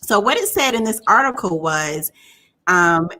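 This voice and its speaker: A woman's voice: mid-range voice, animated, a little slow, sharp tone. A woman in her forties hosting a casual live chat as a relationship coach, upbeat and often laughing. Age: 40s.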